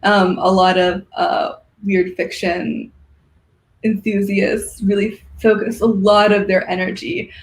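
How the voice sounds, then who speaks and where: An interview guest talking casually and thoughtfully, in a halting way, with a roomy echo. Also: medium pitch, flat delivery, slight background noise. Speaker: guest, organizer of a fiction podcast and symposium. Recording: roomy, echoey sound.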